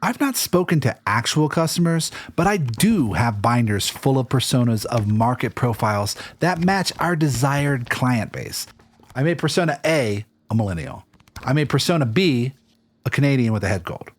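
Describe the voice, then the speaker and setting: An actor playing an earnest, defensive content marketer in a theatrical audio musical, both spoken and sung. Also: male voice, mid-range voice, lively intonation, normal speed, natural voice.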